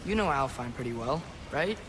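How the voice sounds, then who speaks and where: A man's voice: mid-range voice, expressive, moderate pace, natural voice. An actor playing an earnest, curious teenage boy adventurer in a video game, casual and questioning. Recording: clear ambient noise behind.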